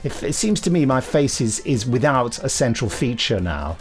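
A man's voice: medium pitch, very animated, moderate pace, faint music behind. A middle-aged man hosting a podcast in a casual, jokey, self-deprecating way. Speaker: podcast host. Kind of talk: casual comic chat.

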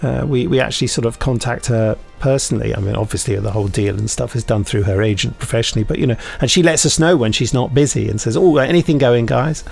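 A man's voice: mid-range voice, quick pace, creaky, hardly any pauses, darkish tone, soft background music. A British man hosting a podcast, chatting casually with rambling, self-mocking, dryly jokey anecdotes. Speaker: podcast host and audio drama maker.

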